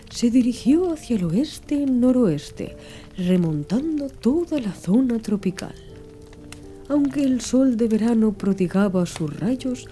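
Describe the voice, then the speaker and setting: Woman, audiobook narrator, very soft dark timbre, lively intonation, measured storytelling, music underneath. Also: a little high. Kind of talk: scripted literary narration. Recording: music behind the voice. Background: background music.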